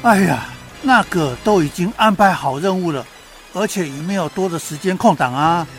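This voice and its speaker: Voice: mid-range voice, animated, moderate pace, soft background music, natural voice. An actor playing a dutiful, timid frog squad leader in a children's radio drama, polite and apologetic. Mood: deferential, reluctant. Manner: polite, apologetic, ending lines with a croak word.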